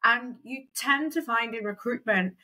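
A woman's voice: a little high, measured pace, pushed and bright, low-quality recording. A woman, a recruitment company founder, chatting as a guest in an interview, with a lot of "kind of" and "um". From Wales. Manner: chatty, lots of fillers like "kind of" and "um". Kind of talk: conversational interview.

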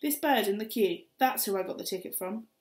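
A woman's voice: medium pitch, brisk, a little mellow, lightly acted. Woman reading as a shifty wary stranger man. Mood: evasive. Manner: evasive, defensive, streetwise slang. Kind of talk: audiobook character reading. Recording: roomy echo.

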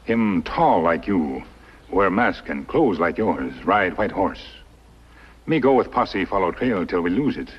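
A stoic, loyal western sidekick, talks in clipped broken English. The voice is low but moves widely in pitch. It comes through a muffled old television soundtrack. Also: male voice, very animated, measured pace, husky, heavily acted. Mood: grave. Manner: terse broken English, grave. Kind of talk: 1950s television western acting. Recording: muffled, noisy 1950s film soundtrack.

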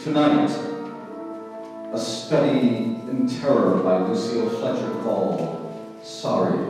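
A man playing a sinister, measured host of an old-time radio suspense drama. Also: mid-range voice. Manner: slow, measured, ominous delivery. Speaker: announcer and narrator. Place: small stage hall before an audience. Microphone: distant camera. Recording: echoey hall from far off.

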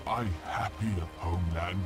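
A big, dim, glum brute from a video game, acted by a man. His voice is deep and his sentences plod along in broken grammar, with music behind. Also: moderate pace, lightly acted. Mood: glum, resigned. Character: big simple-minded reluctant orange brute. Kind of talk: video game character acting.

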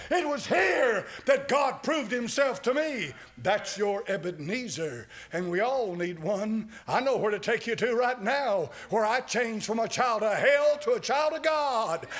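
An older American man preaching a sermon from a church pulpit, fervent and rising to shouts. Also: high-pitched. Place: church sanctuary. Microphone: pulpit mic.

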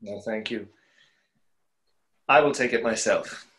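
A man's voice: fairly low pitch, slow pace, fairly bright tone. A young man playing a proud, remorseful conjurer in a play reading, earnest and dramatic. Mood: resolved. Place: small room, indoors.

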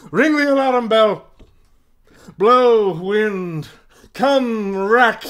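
Guy playing a bitter warrior king, high voice, slow theatrical shakespeare verse for radio. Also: a bit bright, exaggerated voice. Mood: urgent, defiant. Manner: declamatory, theatrical.

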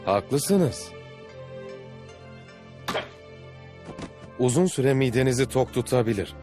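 A man's voice: mid-range voice, animated, normal speed, close to an everyday voice. A man playing an earnest, adventurous traveller who formally narrates his journeys in a dubbed cartoon. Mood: respectful. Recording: music behind the voice. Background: music.

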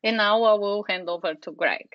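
A woman's voice: medium pitch, normal speed, no room sound. A woman moderating a webinar, announcing in a friendly, straightforward way over a remote call microphone. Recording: dull, dry call audio.

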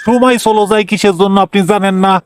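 A man voicing a flattering, obsequious henchman in a comic cartoon, with a Bangladeshi regional Bengali accent. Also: high-pitched, fast talker, over music, close to an everyday voice. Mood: fawning. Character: fawning, flattering male henchman of a cartoon villain.

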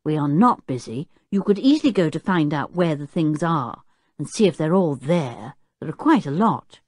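A woman's voice: low voice, expressive, moderate pace, darkish tone, no room sound, clean studio sound. A woman voicing a blunt, teasing boy.

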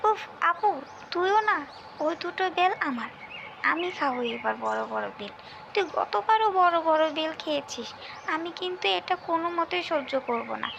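A quarrelsome little girl from a cartoon village tale, acted by a woman. The voice is extremely high with a creaky edge, whiny and insistent. Clear noise sits behind it. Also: animated, normal speed, highs cut off, full character voice. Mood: demanding, quarrelsome. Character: bossy, squabbling little village girl sisters. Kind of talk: cartoon folk-tale voice acting.

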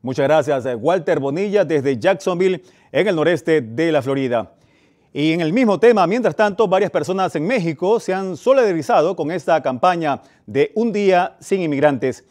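A man presenting the news from a TV studio in a formal, steady way. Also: fairly high pitch, moderate pace. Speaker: news anchor.

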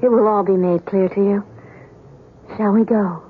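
An actress playing a serene, loving visitor from another world, speaking solemnly and tenderly in a 1970s radio drama. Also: female voice, mid-range voice, steady tone, moderate pace, a little hiss or hum, lightly acted. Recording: narrowband old radio with music behind.

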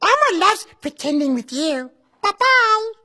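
A man's voice: extremely high pitch, sing-song, unhurried, bright, forceful tone, heavily acted. A man voicing a giggly little red monster toddler who talks about himself in the third person. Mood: playful. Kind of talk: children's puppet show voice acting.

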